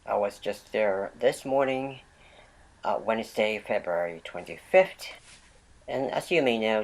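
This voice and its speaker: A vlog host narrating a product unboxing with a voice left raspy by a lingering cold. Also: medium pitch, rough recording.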